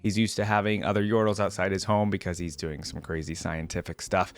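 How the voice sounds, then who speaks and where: A man hosting a reaction video in a casual, chatty style, informally thinking out loud as he comments and reads bits aloud. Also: deep voice, fairly even intonation, fairly quick, clean tone, no room sound. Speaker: host of a reaction video series.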